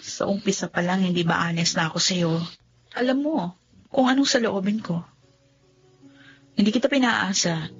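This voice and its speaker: A woman's voice: medium pitch, normal speed, long pauses, slightly rough, lightly acted. An actress playing a young woman who speaks frankly and firmly to her suitor in a radio drama. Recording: muffled radio-play recording with faint music.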